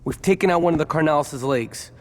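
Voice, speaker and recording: Man playing easygoing gangster, dry, slangy, somewhat higher voice, video game drama. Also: fast, lightly acted. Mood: casual, dry. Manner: casual, laconic, slangy. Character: laid-back male gang henchman, dryly doubtful. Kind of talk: video game cutscene acting.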